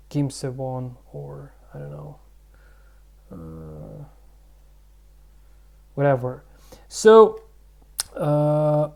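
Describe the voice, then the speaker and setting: Male vlog host, gravelly mellow voice, casual rambling delivery full of fillers. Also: a little high. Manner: rambling, thinking aloud with many fillers. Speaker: channel host.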